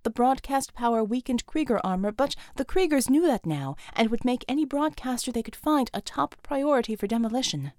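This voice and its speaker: A woman narrates an action audiobook in a dramatic, scripted style. Her voice is fairly high, and the recording is very dry and close. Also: dry recording.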